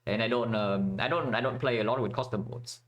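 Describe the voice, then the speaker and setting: A man's voice: mid-range voice, fairly quick, fairly bright tone. A man from Vietnam giving casual commentary while playing a strategy game, thinking aloud with many fillers and restarts.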